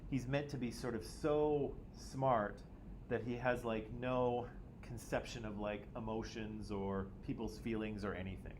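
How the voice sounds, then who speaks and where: A man's voice: mid-range voice, fairly even intonation, unhurried. Male teacher, classroom discussion, jokey, tags "right?" constantly, noisy background. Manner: jokey, self-teasing, checks in with "right?" constantly.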